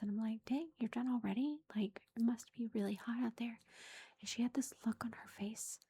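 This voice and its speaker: Woman vlogger, whispering a chatty story, mellow and breathy. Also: on the high side. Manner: whispering throughout, chatty with fillers. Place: indoors at home. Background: lawnmower faintly outside.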